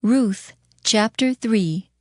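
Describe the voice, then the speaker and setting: A woman reading scripture as a narrator in a measured, formal storytelling manner. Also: on the high side, darkish tone, no echo, studio quality.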